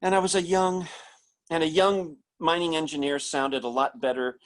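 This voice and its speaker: A man's voice: fairly high pitch, fairly even intonation, moderate pace, close to an everyday voice. A man acting a nervous, hesitant middle-aged toy salesman in a 1940s-style radio drama. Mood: nervous confession. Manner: hesitant, stammering, flustered.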